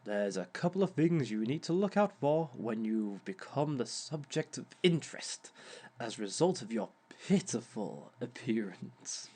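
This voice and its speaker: A man's voice: medium pitch, darkish tone, breathy edge, heavily acted. A man playing a smug male villain who gives romance advice in a wry, mock-sympathetic way and chuckles often at his own words. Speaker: villain relationship expert. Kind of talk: audio roleplay monologue.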